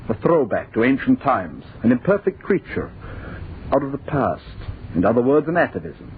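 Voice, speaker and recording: An actor playing a bitter, world-weary immortal of a future world in an old radio horror drama, with a condescending, impassioned, theatrical delivery. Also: male voice, medium pitch, big pitch swings, moderate pace, natural voice. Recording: muffled, noisy old radio recording.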